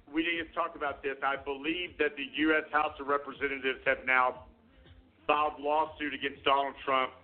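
A man hosting his own radio show, talking loudly and passionately and tripping over repeated words. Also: a little high. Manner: loud, passionate, repeats words when excited. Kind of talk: call-to-action talk show sign-off. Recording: tinny phone-line sound.

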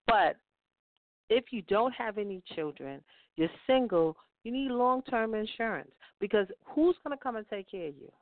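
A woman's voice: medium pitch, lively intonation, moderate pace, no echo. A woman giving financial advice on a talk show, stressing her points by repeating key phrases. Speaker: guest financial speaker. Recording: tinny phone-line sound.

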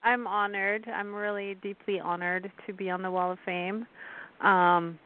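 A woman's voice: mid-range voice, steady tone, unhurried. A woman from France, speaking as a radio interview guest in an earnest, reflective way. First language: French. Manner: earnest, reflective, with frequent fillers and soft laughs. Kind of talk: interview conversation. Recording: tinny phone line.

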